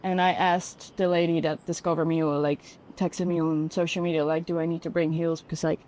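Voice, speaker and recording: A woman's voice: sits low, little pitch movement, brisk, a little mellow, slight background noise, rough recording. A woman in her twenties from the Netherlands with a Dutch accent, chatting informally as an interview guest and peppering her talk with "like". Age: 20s. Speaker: podcast guest, fashion model. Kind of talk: casual interview chat.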